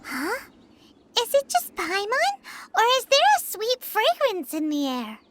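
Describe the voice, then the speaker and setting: A woman voicing a small, excitable, greedy fairy-like girl companion in a video game, with a squeaky childlike voice and bubbly, exclamatory delivery. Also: measured pace, very noisy, heavily acted. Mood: curious. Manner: bubbly, exclamatory, refers to self in third person. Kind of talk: animated video game voice acting. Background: game music.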